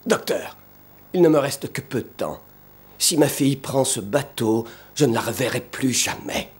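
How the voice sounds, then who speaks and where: An actor playing a gravely ill, devoted father in a French-dubbed cartoon, urgent and emotional. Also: medium pitch, lively intonation, normal speed. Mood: grave, determined. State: ailing, weakened. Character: gravely ill, devoted father desperately seeking his daughter. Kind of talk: dubbed animated drama acting. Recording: voice over loud orchestral music.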